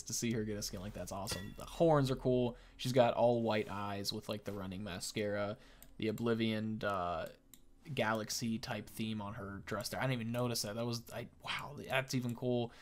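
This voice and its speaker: A man's voice: lowish voice, a bit bright. A man in his thirties giving casual, enthusiastic, informal gameplay commentary as a video creator. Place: small indoor room at home, on webcam.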